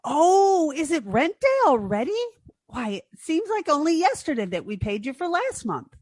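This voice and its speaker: A woman's voice: high voice, sing-song, normal speed, a little mellow, dry recording. A woman playing a naive, nervous young woman in 1940s radio comedy style, hesitant and stammering. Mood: stalling.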